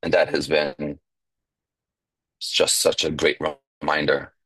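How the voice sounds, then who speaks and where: A young man raised speaking Yiddish, from New York, giving a reflective personal share at a recovery meeting, pausing and restarting as he talks. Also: very deep voice, flat delivery, pushed and bright, husky, no room sound, low-quality recording. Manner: reflective, halting, with fillers and restarts.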